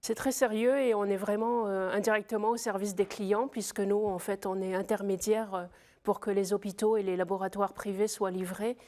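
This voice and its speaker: A woman's voice: medium pitch, little pitch movement, normal speed, warm tone. A woman from the Drôme with a slight Franche-Comté accent, a quiz contestant talking hesitantly with fillers and small nervous laughs. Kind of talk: casual on-air chat.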